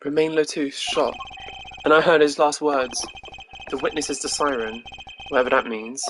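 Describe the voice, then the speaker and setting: A woman's voice: low-pitched, steady tone, moderate pace, slight background noise, close to an everyday voice, rough recording. A woman playing an earnest, anxious young male lawyer in a video game, speaking with urgent concern. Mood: brooding.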